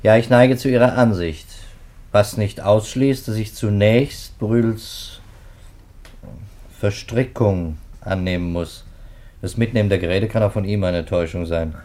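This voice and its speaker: An actor playing a sceptical, methodical police detective in a 1950s radio play, speaking in a clipped, businesslike manner. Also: male voice, on the low side, unhurried, warm tone, close to an everyday voice. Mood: pondering, insistent. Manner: businesslike, clipped, rattles off facts.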